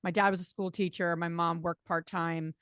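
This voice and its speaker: Fast-talking New Jersey woman. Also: lowish voice, little pitch movement, fairly bright tone, clean tone, dry recording, studio quality. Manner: fast-talking, chatty, many fillers. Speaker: guest, real estate investor. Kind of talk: casual interview talk.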